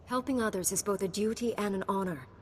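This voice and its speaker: A woman plays a dutiful young knight heroine from a sci-fi video game. Her voice sits a little low, formal and composed, ringing in a long reverberant space with faint noise behind it. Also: fast, close to an everyday voice. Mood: earnest, resolute. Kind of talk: video game dialogue acting.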